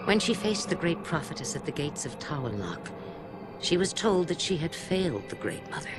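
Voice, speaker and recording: An eloquent alien male doctor from a video game, speaking like a theatrical storyteller with a sardonic edge. His pitch moves widely and expressively, and music plays behind him. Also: sing-song, normal speed. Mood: solemn storytelling. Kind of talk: video game cutscene voice acting.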